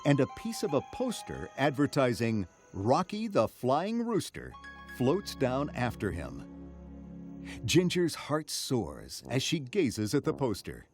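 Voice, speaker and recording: Slow-paced male storybook narrator with expressive delivery. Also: medium pitch, faint music behind. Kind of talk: scripted children's read-along narration.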